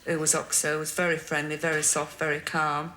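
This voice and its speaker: Deadpan low-voiced Yorkshire woman. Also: a little fast. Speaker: game show contestant. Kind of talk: candid recap of a date. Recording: noisy 1980s television audio.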